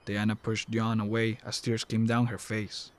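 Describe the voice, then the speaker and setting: A man's voice: on the low side, monotone, normal speed. A man reading scripted narration for an audio drama.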